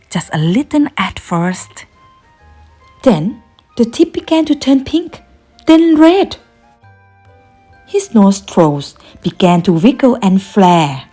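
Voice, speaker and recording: A woman narrates a children's bedtime story with dramatic, deliberate phrasing. Her voice is lively in pitch, slow-paced and a little dark in tone, with music quietly underneath.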